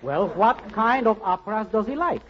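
A man's voice: high-pitched, sing-song, moderate pace, a little hiss or hum. An actor playing a pompous, theatrical male singer in a 1940s radio comedy, talking in a florid, gushing way. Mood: grand. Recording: lo-fi old radio broadcast with music.

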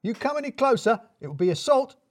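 A man voicing an angry, uptight office freelancer in an audio story, shouting his affronted, clipped and formal protests. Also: very high-pitched, big pitch swings, quick pace, dry recording, studio quality, voice pushed up, exaggerated voice. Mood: indignant.

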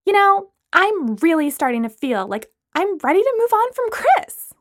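A bubbly young woman, put on by a female storyteller, chirps in a very high, bright voice. She is giddy and shameless, and her pitch leaps all over the place. This is a storybook figure. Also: big pitch swings, normal speed, dry recording, clean studio sound.

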